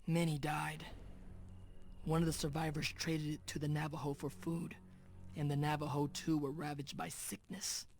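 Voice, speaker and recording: Solemn, even-toned young Apache man played by an actor. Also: fairly high pitch, natural voice. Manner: measured, formal speech. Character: solemn Apache chief's son, calm and shrewd. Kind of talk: dramatic video game cutscene acting.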